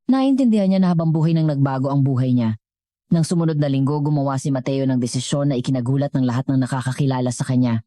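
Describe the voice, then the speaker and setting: A woman's voice: rumbling low, quick pace, mellow, dry recording, studio quality. A woman with a Filipino accent narrating a scripted story as a storyteller.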